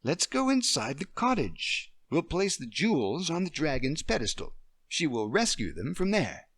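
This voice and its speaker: A man's voice: fairly high pitch, huge pitch swings, normal speed, breathy edge, no echo, voice pushed up, heavily acted. A man voicing a kind, light-hearted young woman. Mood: playful pretend. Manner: gentle, light-hearted, plays along with a child's game. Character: kind, playful young woman, friendly and calm. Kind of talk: storybook reading.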